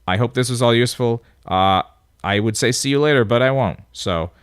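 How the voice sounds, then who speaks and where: A man's voice: mid-range voice, brisk, smooth, dry recording, studio quality. Nasal-voiced man teaching coding. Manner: casual, thinking aloud while typing. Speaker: instructor. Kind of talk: screencast coding tutorial. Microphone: voice-over on a screen recording.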